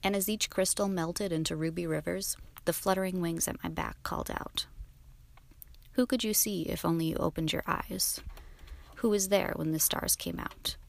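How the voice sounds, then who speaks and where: A woman poet reading her poem aloud in a creaky voice. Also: fairly low pitch, fairly even intonation, normal speed. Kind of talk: poetry reading.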